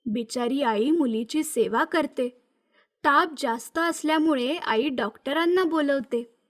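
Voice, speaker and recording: Female storyteller: high-pitched, scripted children's moral tale narration. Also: unhurried.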